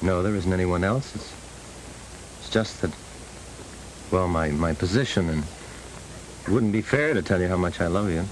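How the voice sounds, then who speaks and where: Actor as a low-voiced earnest young man. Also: moderate pace, pauses often, natural voice. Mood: tender, hesitant. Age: young adult. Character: earnest, troubled young male parolee. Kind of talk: 1930s film acting. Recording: faintly noisy 1930s film soundtrack.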